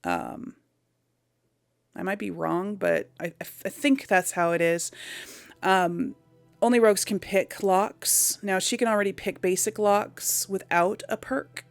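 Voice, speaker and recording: A woman's voice: mid-range voice, fairly even intonation, measured pace, gravelly, no room sound, faint music behind. A woman giving casual video game commentary, thinking aloud in a hesitant, musing way. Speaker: video game let's player.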